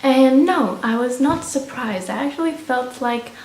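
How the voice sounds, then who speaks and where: A woman's voice: high-pitched, unhurried, a little mellow. Vlogger, young adult woman, Romanian accent, casual rambling delivery, recorded roomy and distant with faint noise. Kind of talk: casual chat to camera.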